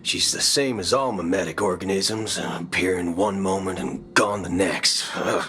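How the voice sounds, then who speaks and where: An actor playing a folksy, principled space ranger gunslinger in a video game cutscene, drawling theatrically. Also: male voice, low voice, normal speed, sharp tone, a little hiss or hum. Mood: folksy candor. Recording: music behind the voice.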